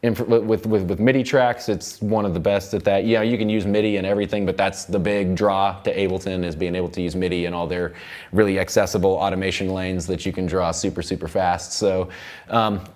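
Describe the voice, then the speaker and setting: A young American man hosts an informal music production lesson in a fairly low voice. He talks in a loose, rambling way, doubling back on his phrases as he explains things to viewers. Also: level tone, brisk, darkish tone. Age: young adult. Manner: rambling, repeats and restarts phrases. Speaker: instructor, seminar host. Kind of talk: casual live tutorial on music production. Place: recording studio control room, seated at mixing console.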